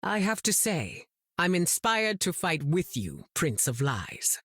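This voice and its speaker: Slow, regal goddess. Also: female voice, on the low side, sing-song, a bit bright, slightly rough, no echo, clean studio sound, exaggerated voice. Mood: cool, wry. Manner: measured, poised. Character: regal, self-assured mutant goddess, cool and wry. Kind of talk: video game character dialogue.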